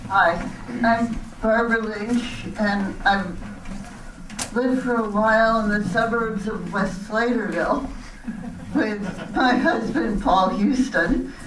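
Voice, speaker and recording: A woman giving a prepared public comment as a resident, in a measured, deliberate way. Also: a little high. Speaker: resident speaking at a town board meeting. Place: community center meeting hall. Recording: echoey hall, distant, faint noise.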